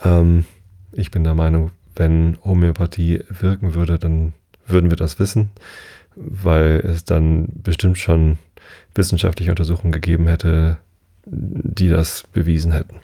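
A man's voice: sits low, level tone, a little slow, mellow. A man in his forties hosting a podcast, talking casually in a rambling way with many fillers and self-corrections. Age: 40s. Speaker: podcast host. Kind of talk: casual solo podcast monologue.

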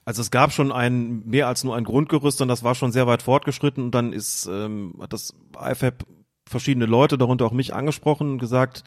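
A man calmly explaining football rules in a casual podcast chat, hesitant and full of fillers. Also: mid-range voice, fairly quick. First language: German. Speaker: referee rules expert guest.

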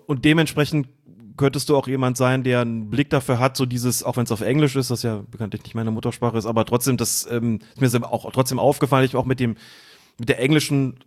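A man from Germany calmly explaining refereeing decisions and rules in a casual podcast chat, pausing to search for words. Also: mid-range voice. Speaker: referee expert guest.

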